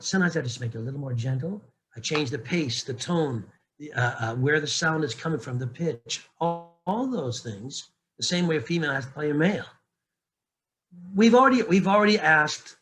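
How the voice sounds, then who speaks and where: A man with a bright, forward voice pitched a little high, talking loosely and candidly. He jokes, doubles back on his words and restarts sentences often, like a coach chatting with peers. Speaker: narration coach. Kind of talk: casual panel talk.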